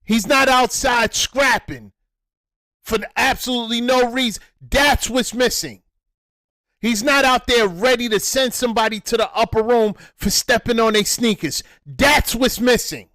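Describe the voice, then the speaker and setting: A man speaking with a high-pitched voice delivers reaction commentary. He talks at a deliberate, slow pace, lecturing and repeating his points for emphasis. The sound is dry and close. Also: bright, forceful tone, no echo. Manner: preachy, repetitive, emphatic.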